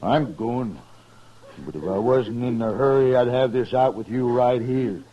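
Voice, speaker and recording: An actor playing a surly, threatening mule driver in a 1950s radio western, blustering in rough, folksy speech. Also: male voice, mid-range voice, measured pace, lightly acted. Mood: sullen, threatening. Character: threatening, surly bully of a mule-driving frontier man. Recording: dull old 1950s radio recording.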